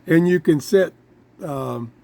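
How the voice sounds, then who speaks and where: A man with a relaxed, mellow voice set a little higher than most men's presents a casual hands-on product demonstration. He speaks slowly, in halting short pieces, pausing to think as he goes, like the host of a homemade review show. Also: gravelly.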